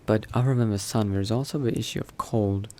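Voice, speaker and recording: A man playing a cautious, brooding newly turned vampire, thinking aloud in hushed, halting phrases in a video game roleplay. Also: fairly low pitch, steady tone, natural voice. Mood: relieved, reflective. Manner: hushed, halting self-talk with sighs and pauses. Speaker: roleplay gamer. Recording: noticeable background noise.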